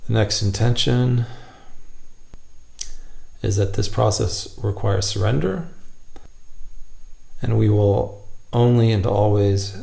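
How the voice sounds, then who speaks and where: A man leading a guided meditation, speaking gently and unhurriedly in short phrases with long pauses. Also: fairly low pitch, creaky.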